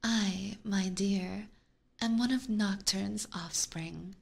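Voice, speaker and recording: A woman's voice: medium pitch, slow pace, vocal fry, breathy edge, full character voice. A woman playing a haughty, teasing, predatory vampire in an ASMR roleplay, whispering breathily with formal, condescending diction close to a binaural microphone. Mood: seductive, indulgent.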